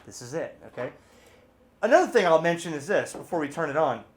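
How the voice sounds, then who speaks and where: A man with a voice a little higher than most is presenting a product in a loose, unscripted way. He is chatty and informal, rambling into animated asides and leaning hard on the points he wants to make. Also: brisk. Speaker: video host, radio hobbyist reviewer. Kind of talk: casual off-the-cuff product review.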